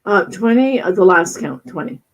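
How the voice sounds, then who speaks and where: A woman's voice: mid-range voice, expressive, moderate pace, highs cut off. Upbeat woman in her sixties. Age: 60s. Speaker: co-host. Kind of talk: casual chat.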